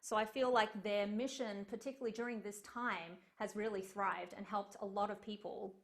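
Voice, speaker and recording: A woman's voice: mid-range voice, fairly even intonation, moderate pace. A young woman giving an online lecture as a cellist, chatty and earnest, with frequent ums, over a video call. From Australia. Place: home room on a video call.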